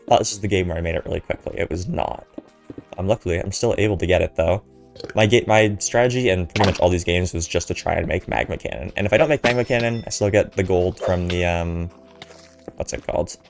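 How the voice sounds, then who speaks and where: A young man talks over his gameplay in an easygoing, meandering way, his voice sitting very low and sounding blocked up from a cold. Music plays clearly behind the commentary. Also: fast. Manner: casual, filler-heavy, chatty. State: stuffy nose from a cold. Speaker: gaming commentator.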